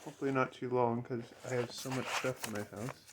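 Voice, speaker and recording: A man's voice: medium pitch, little pitch movement, a little fast, noisy background. A man giving a casual, unscripted show-and-tell as a collector, hesitant and rambling, often trailing off mid-thought. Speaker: record collector and seller showing items.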